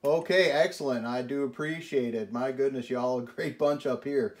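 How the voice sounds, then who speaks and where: A man's voice: medium pitch, normal speed, noisy background. A man chatting casually on CB radio in a polite, folksy, exclaiming way. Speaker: CB radio operator. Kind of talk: casual radio contact chat.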